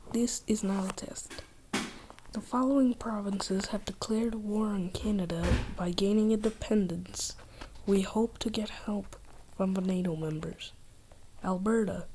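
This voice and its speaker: A woman as a slow, solemn emergency announcer. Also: medium pitch, mellow, a little hiss or hum, lightly acted, rough recording. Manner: measured, subdued. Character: solemn emergency alert announcer for a fictional war. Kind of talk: scripted emergency broadcast.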